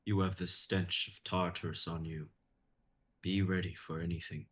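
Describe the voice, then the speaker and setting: A man plays a commanding male guide of the underworld. The voice is deep and the delivery terse and brusque, in a fantasy drama reading. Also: flat delivery, moderate pace, a bit bright, a little hiss or hum. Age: young adult.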